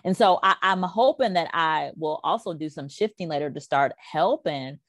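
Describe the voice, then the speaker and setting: A middle-aged woman with a lowish voice talks as a podcast guest about her coaching work. She is emphatic and lively, often putting on little voices when she quotes people. The sound is close and dry with no room echo. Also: normal speed. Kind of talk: casual podcast conversation.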